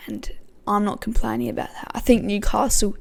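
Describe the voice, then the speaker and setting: A woman hosting a casual football podcast, her voice croaky, thinking aloud with many hesitations. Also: medium pitch, animated, a little fast. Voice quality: gravelly. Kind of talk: casual pre-match football talk.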